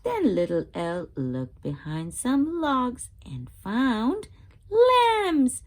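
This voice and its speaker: A woman reading a storybook aloud for young children, expressive and inviting, often putting questions to her listeners. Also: on the high side, extremely slow. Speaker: children's read-aloud channel host.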